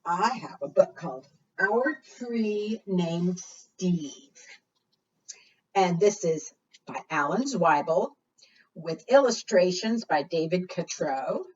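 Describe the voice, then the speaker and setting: Animated woman leading preschool storytime, slightly muffled. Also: fairly low pitch, slow pace, breathy edge. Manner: coaxing questions, exaggerated gasps and singsong refrains. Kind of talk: interactive storytime talk to small children. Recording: muffled, distant microphone.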